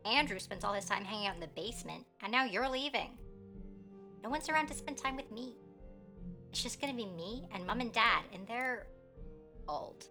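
A woman's voice: on the high side, lively intonation, moderate pace, long pauses, sharp tone, soft background music. An actress playing a lonely kid sister who pleads and rambles earnestly as she tapes a message in a radio play. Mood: complaining, lonely.

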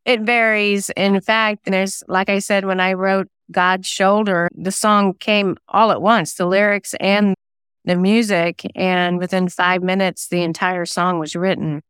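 A woman's voice: mid-range voice, little pitch movement, a little slow, a bit bright, clear, resonant tone, no room sound, clean studio sound. A woman talking casually as an interview guest, chatty and self-effacing. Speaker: interview guest, singer-songwriter and novelist.

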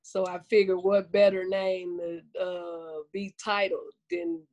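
A woman's voice: mid-range voice, slow, darkish tone. A woman in her fifties with a Southern US accent, chatting as a comedian guest in a folksy, good-humored way. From Arkansas, United States. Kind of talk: casual interview chat.

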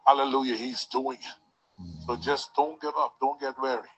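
A man's voice: mid-range voice, moderate pace, husky, dull audio, soft background music. A man leading a church service, preaching fervently with call-and-response and repeated hallelujahs. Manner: repeats hallelujah, rises to shouts.

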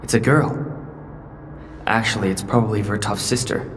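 A man's voice: on the low side, steady tone, normal speed, lightly acted. A man playing a puzzled teenage boy adventurer, thinking aloud in a sci-fi audio drama. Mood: curious, uneasy. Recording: long reverb, faint ambient noise.

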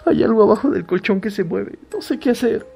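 A man voicing a frightened young woman who pleads and stammers in panic, in a gravelly recorded horror story. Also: high voice, a little fast, darkish tone, a little hiss or hum. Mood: panicked.

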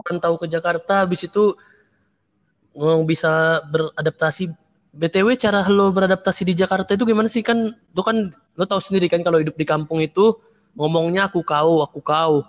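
A man's voice: high-pitched, steady tone, brisk. A young man interviewing casually, asking chatty, slangy questions.

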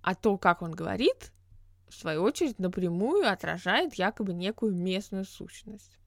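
A woman's voice: medium pitch, lively intonation, moderate pace, no room sound, studio quality. A woman hosting a podcast, talking through ideas on her own in an explanatory, reflective way with frequent hesitation sounds. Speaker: podcast host. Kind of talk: solo podcast monologue.

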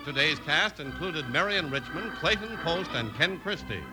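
A man's voice: a little high, expressive, moderate pace, rough recording. A man announcing in polished, declamatory 1950s radio style. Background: music.

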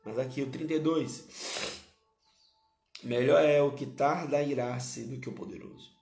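A hoarse male pastor, preaching a sermon and repeating key phrases to his listeners. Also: medium pitch, unhurried, darkish tone, echoey room, soft background music.

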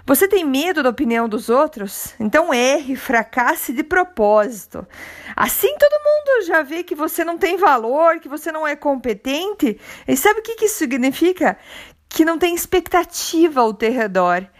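A woman's voice: high-pitched, big pitch swings, moderate pace. A woman with a Brazilian Portuguese accent hosting a motivational podcast, talking in a conversational, encouraging, reflective way. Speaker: podcast host.

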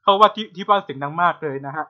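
A man's voice: on the high side, racing. A man co-hosting a podcast in casual chat, on a slightly dull, lo-fi connection. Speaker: podcast co-host.

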